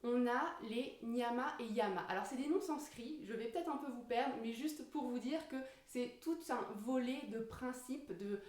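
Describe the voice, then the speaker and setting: A woman's voice: a little high, moderate pace, hardly any pauses, darkish tone. A woman giving an informal explanatory yoga lesson, searching for her words as she goes. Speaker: yoga teacher. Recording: roomy echo, distant microphone.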